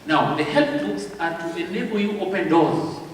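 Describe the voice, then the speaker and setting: A man with a Kenyan accent giving a training talk at a convention, speaking slowly and deliberately and checking in with the audience. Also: high voice, a little hiss or hum. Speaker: publishing house representative. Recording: echoey hall recorded from far off.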